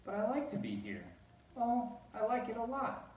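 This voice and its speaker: Man as breezy trickster cat, showy, coaxing, animated pitch, storybook, roomy distant recording. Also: muffled recording, slight background noise, exaggerated voice. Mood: carefree. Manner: coaxing, showy, drawn-out repetitions. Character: breezy mischievous male showman cat, playfully persuasive. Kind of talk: storybook character voice. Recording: roomy echo, distant microphone.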